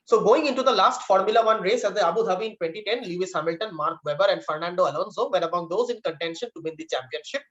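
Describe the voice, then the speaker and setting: A man's voice: sits high, quick pace, few pauses, a bit bright, lo-fi sound. A man acting as quiz master, reading out trivia questions and giving verdicts on answers.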